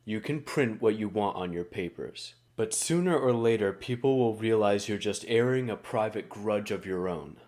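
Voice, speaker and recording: A man plays a lawman in a comic book drama. His voice is on the low side, firm and measured, sounding fair-minded. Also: lively intonation, a little mellow, lightly acted. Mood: firm, reproving. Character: firm, fair-minded adult male police officer. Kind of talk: comic book dub acting.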